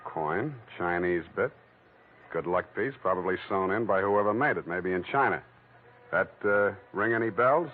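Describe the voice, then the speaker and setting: An actor playing a cool, wisecracking hard-boiled detective, wry and clipped, in 1940s radio noir style. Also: male voice, low-pitched, normal speed, a little hiss or hum, lightly acted. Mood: probing. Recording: tinny old radio broadcast.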